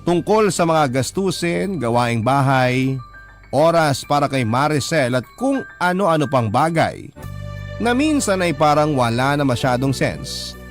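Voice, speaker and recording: A man's voice: on the high side, very animated, measured pace. A man with a Filipino accent narrating a personal story in the style of a radio drama storyteller. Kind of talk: dramatic narration of a listener's letter. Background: music under the voice.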